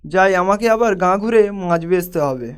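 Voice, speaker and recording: A man's voice: high-pitched, lively intonation, moderate pace, clean tone, faint music behind. A man voicing a humble, hardworking young village fisherman in a cartoon, speaking in an earnest, modest way. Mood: earnest, protesting. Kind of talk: cartoon dialogue acting.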